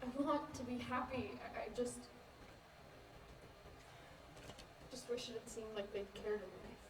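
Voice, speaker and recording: A young woman in a student stage play, acting a bitter, wounded, defensive teenage girl with an emotional, halting, insistent way of talking. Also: a little high, far from the mic, close to an everyday voice. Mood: wounded, unsure. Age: young adult. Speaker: student actor in a one-act play. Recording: echoey hall from afar, faint noise.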